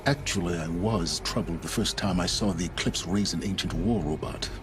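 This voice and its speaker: A man performs a cold, unrepentant male schemer from a video game cutscene. His voice is low and deep, with measured, exact phrasing and aloof self-satisfaction. Music plays clearly beneath him. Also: natural voice. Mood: measured recounting. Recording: music under the voice.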